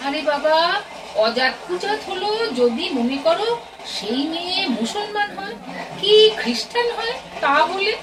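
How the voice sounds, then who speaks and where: A woman acts out an anxious middle-aged Bengali mother in an audio drama. Her high voice swings widely as she frets, scolds and chatters. The recording is noisy and sounds set back from the microphone. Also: expressive, unhurried, distant mic. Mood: fretful, fearful. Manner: fretful, exclamatory, homely scolding and chattering. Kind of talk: audio play acting.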